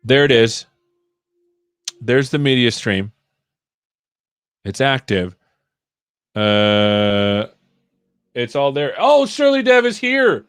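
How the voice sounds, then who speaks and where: A man's voice: medium pitch, sing-song, slow pace, pauses often, fairly bright tone, clean tone, no echo, clean studio sound. A man hosting a developer livestream, coding live and chatting as he works, often muttering to himself. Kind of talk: live coding, thinking aloud.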